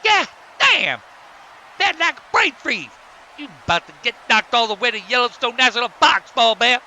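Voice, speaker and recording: A man voicing a cocky, loud-mouthed, excitable pimp wrestler in a cartoon. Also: very high voice, moderate pace, full character voice. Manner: swaggering, excitable, shouty.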